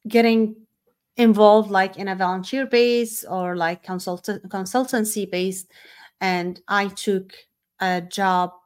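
A middle-aged woman speaks with a Syrian Arabic accent, her native language being Arabic. Her pitch sits in the middle range for a woman. She talks off the cuff in an informal personal monologue, as the host of a podcast.

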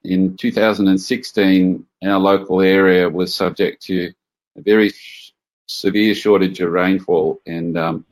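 Deep-voiced aussie guy talking slowly on a video call, lots of uh's. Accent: Australian. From Australia. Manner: measured, many "uh" pauses. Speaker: guest. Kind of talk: interview answers. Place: farm home.

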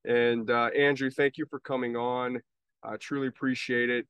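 A man's voice: mid-range voice, flat delivery, normal speed, a bit bright, smooth, dry recording. Earnest male podcast host, dry sound. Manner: earnest, restarts phrases often. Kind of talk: casual interview hosting.

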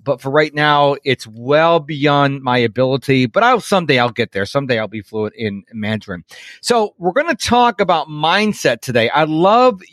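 Middle-aged podcast host guy, kinda high voice, chatty, dry close mic. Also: animated, moderate pace, a bit bright, no echo. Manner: chatty, self-deprecating, tells personal stories. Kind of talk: casual interview chat.

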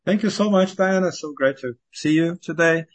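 A man's voice: fairly high pitch, moderate pace, no echo. A middle-aged man from Brazil answering interview questions in a conversational way, informally thinking aloud as he goes. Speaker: podcast guest, climate scientist and founder.

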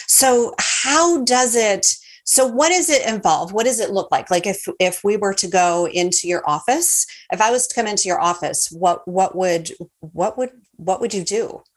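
Effusive woman podcast host. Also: lowish voice. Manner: enthusiastic, effusive praise. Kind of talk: warm interview chat.

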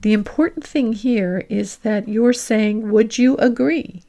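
A woman career coach hosting an instructional podcast, speaking deliberately and stressing her key phrases as she explains points and example dialogue. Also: on the high side, dark tone.

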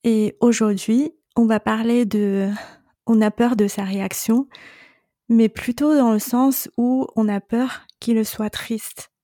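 A middle-aged woman hosts a solo coaching podcast in a calm, reassuring tone. Her voice is mellow and warm, and she takes her time over each phrase. The sound is dry and close, with no room around it. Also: on the high side, slow, no room sound. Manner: calm, reassuring, speaks directly to the listener. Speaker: separation coach and podcast host.

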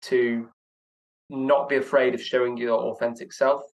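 An earnest young man answers interview questions in a reflective, conversational way. His voice sits at an ordinary male pitch, and he sometimes stammers and restarts as he gathers his thoughts. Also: level tone, a little slow, rough recording. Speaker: guest, professional speaker.

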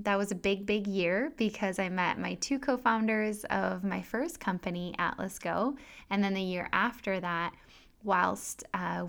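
A woman in her thirties, French but sounding American, hosting a calm wellness podcast in a soft, gentle, unhurried way. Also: medium pitch, creaky. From France. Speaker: podcast host and health coach. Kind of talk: calm solo podcast talk with guided breathing.

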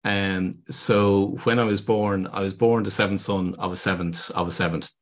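A man's voice: low-pitched, steady tone, normal speed. A man with an Irish accent, a podcast guest and business consultant, explaining earnestly with frequent ums and restarts. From Ireland. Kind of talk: podcast interview.

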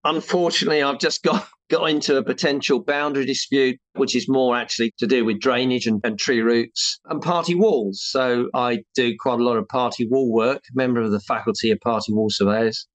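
A man's voice: medium pitch, a little fast, few pauses, no room sound. A man in his sixties from England, a surveyor being interviewed, talking in a chatty, rambling way with many restarts and self-deprecating jokes. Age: 60s. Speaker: chartered building surveyor, guest. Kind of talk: casual interview talk.

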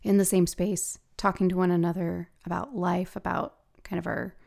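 A woman with a gravelly voice hosting a podcast, talking casually and haltingly as she thinks aloud. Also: lowish voice, flat delivery, darkish tone. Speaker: podcast host and hypnosis practitioner. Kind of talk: casual solo podcast talk.

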